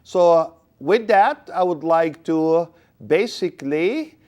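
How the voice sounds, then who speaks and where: Man, TV host, very animated pitch, encouraging, many follow-up questions. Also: slow pace. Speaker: talk show host. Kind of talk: community TV interview.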